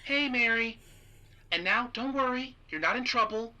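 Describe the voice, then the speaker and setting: A woman's voice: on the high side, normal speed, bright, forceful tone. A woman playing an over-reassuring boss soothing an employee in an audio drama. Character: placating, falsely reassuring boss on a phone call.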